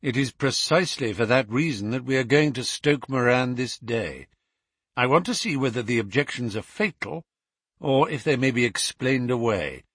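A man voicing an unflappable gentleman detective in a storybook reading, politely dry and quietly amused. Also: mid-range voice, lively intonation, moderate pace, slightly rough, dry recording. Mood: brisk, reasoning.

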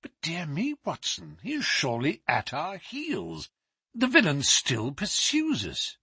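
A man playing a composed, amiable gentleman detective, polite and formal, in a storybook reading. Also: fairly high pitch, wildly swooping pitch, unhurried, slightly rough, no room sound, studio quality, pitched above the performer's own voice, full character voice. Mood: amused, musing. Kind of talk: dramatised audiobook reading.

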